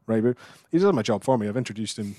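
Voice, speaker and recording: A man hosting a casual sports podcast, brisk and upbeat. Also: lowish voice, expressive, dark tone, dry recording, studio quality. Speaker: podcast host.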